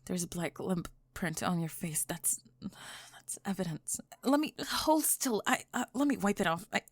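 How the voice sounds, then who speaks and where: A woman's voice: on the low side, animated, unhurried, slightly rough, no echo. A woman playing a flustered young goth girl with a secret crush, rambling and stammering breathlessly in an ASMR roleplay. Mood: mortified. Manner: rambling, stammering, breathless panicked over-explaining.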